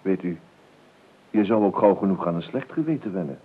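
An actor playing an idle, whimsical gentleman heir in a radio play, talkative and hesitant, repeating his words. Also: low voice, lively intonation, fairly quick, breathy edge. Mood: rambling. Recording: muffled phone-like old radio recording, hiss.

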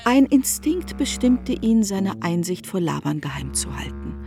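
A woman performing as a composed narrator in a literary radio drama, speaking in a measured, formal and deliberate way. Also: mid-range voice, very animated, creaky, dark tone, faint music behind.

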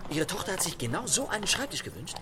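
An actor playing a suave, playful gentleman thief in a radio play, courteous and mock-modest in manner. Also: high voice, sing-song, fast, noisy background. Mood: polite, insistent. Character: suave, playful male gentleman thief recounting his tricks.

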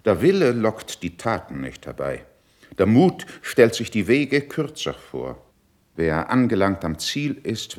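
A shrewd, condescending middle-aged statesman in a classical radio drama. His voice is low, with a widely swinging melody. He delivers his verse in a measured, dryly ironic and superior manner. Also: heavily acted. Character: cool, shrewd, condescending older male statesman. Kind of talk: classical German verse stage acting for radio play.

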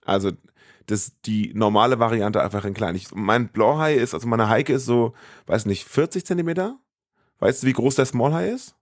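A man's voice: mid-range voice, normal speed, dry recording, clean studio sound. A man co-hosting a podcast in casual chat, playful and rambling, often interrupting himself. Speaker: podcast co-host.

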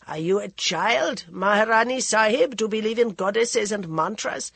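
A woman voicing a stern female ascetic who speaks slowly and gravely, intoning her words. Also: mid-range voice, animated, a bit bright, voice pushed up, full character voice.

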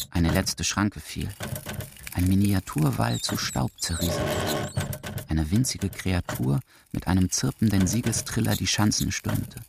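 A man narrates literary prose for a radio drama in a measured, deliberate, emphatic way. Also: deep voice. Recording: clearly noisy recording with clicks.

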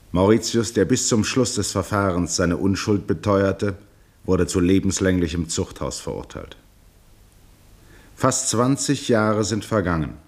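Male narrator, deep pitch, formal, measured, scripted storytelling, 1960 radio drama.

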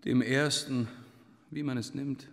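A man's voice: medium pitch, fairly even intonation, slow, a bit bright. A king in his thirties for a literary radio play. He has a mid-range voice and a courtly, genial manner with small hesitations and a wistful streak. A long hall reverb surrounds him. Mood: wistful, reflective.

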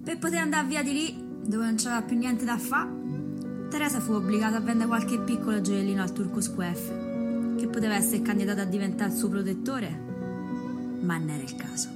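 A woman's voice: medium pitch, fairly quick, loud music behind the voice, close to an everyday voice. A woman playing a hardened, matter-of-fact country prostitute, telling her tale colloquially in a radio drama. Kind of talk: theatrical storytelling in radio drama.

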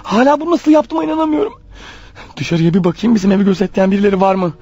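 A man's voice: high-pitched, rapid-fire, warm tone, slightly rough, dry recording. A man acting in a radio play as a panicky, paranoid killer husband on the run, stammering and muttering anxiously to himself. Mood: panicked. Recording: dull lo-fi radio drama recording.

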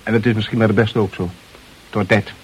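An actor playing a restless, wry young newspaper reporter in an old radio drama, with an excitable, theatrical delivery. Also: male voice, fairly low pitch, fast talker, natural voice. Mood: bored, frustrated. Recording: noisy old radio play recording.

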